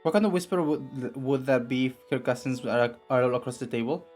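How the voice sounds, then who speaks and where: Raspy-voiced young male streamer. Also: mid-range voice, steady tone, fairly quick, a little mellow, soft background music. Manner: rambling, filler-heavy, with frequent restarts. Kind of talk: casual live-stream chat and reading game text.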